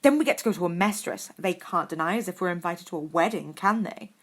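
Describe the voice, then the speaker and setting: A woman voicing an excitable, enthusiastic young schoolboy in a storybook reading. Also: on the low side, quick pace, close to an everyday voice. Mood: eager. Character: eager, excitable, bright-eyed young schoolboy.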